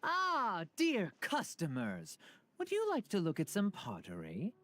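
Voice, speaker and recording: A woman's voice: mid-range voice, unhurried. A woman voicing a friendly, eager pottery merchant in a video game, with a personable manner. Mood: eager, welcoming. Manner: animated, personable. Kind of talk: video game character acting.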